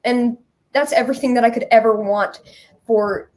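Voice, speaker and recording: Female interview guest, slightly raised pitch, earnest, casual conversational delivery. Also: fairly even intonation, moderate pace, darkish tone. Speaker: musical creator, interview guest. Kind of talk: casual chat.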